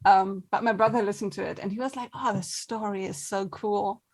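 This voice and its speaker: A woman's voice: medium pitch, fairly even intonation, fairly quick. A woman, a voice actor and non-native English speaker, chatting casually. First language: not English. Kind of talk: casual chat.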